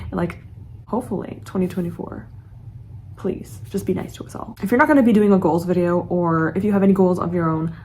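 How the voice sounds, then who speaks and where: A woman's voice: medium pitch, a little mellow, slight background noise. A woman in her late twenties, a book vlogger chatting casually and earnestly to her viewers. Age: late 20s. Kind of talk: casual chat to camera.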